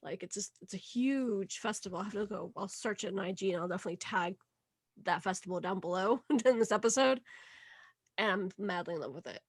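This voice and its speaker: A woman's voice: mid-range voice, brisk, slightly rough, no room sound, clean studio sound. A woman born in Venezuela and raised in Miami, co-hosting a podcast in bubbly, casual chat and laughing often. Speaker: podcast co-host. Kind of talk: casual conversation.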